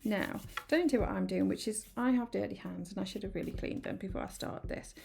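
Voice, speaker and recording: A woman chatting through a card-making tutorial, a little croaky from hay fever, rambling and apologetic with many ums. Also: medium pitch, a little fast, darkish tone. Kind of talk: casual craft tutorial. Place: home craft room.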